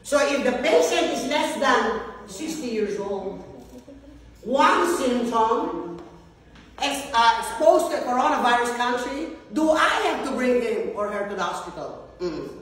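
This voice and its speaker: A woman in her sixties lecturing a class of nurses, animated and constantly quizzing them with check questions. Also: fairly high pitch, measured pace. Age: 60s. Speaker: nursing lecturer. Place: large wood-floored meeting room, indoors. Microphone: far phone camera. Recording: echoey room from far off, some noise.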